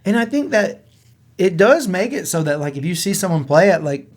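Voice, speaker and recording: A man with a fairly high voice speaks as a podcast co-host. He chats casually about gaming in an informal way, working out his thoughts aloud as he goes. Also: very animated, fairly quick. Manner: informal, thinking aloud, conversational.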